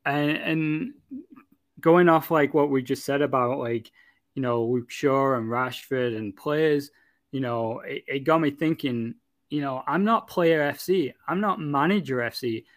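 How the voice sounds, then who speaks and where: A man's voice: mid-range voice, unhurried, dry recording. A man from Manchester, chatting casually about football, rambling with many fillers and restarts. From Manchester, England. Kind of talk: casual chat.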